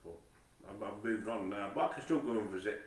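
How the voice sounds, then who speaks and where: A man's voice: on the low side, fairly quick, echoey room, recorded from a distance. A man with a Nottingham accent, rambling in a monologue to camera, swearing constantly, repeating himself and stumbling over his words. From England. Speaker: vlogger.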